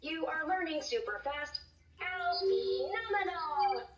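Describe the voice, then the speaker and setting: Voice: high-pitched, sing-song, takes it slowly, exaggerated voice. A voice actor doing a chirpy little toy robot. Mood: encouraging. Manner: upbeat, encouraging, sing-song. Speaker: learning toy voice. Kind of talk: scripted toy voice lines. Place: toy speaker played in a room. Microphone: recorded from a distance. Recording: roomy, distant toy speaker with music.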